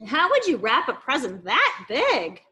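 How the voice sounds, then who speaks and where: A woman voicing curious, excitable young schoolchildren from a storybook, exclaiming and asking questions. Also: high-pitched, unhurried, slightly rough, full character voice.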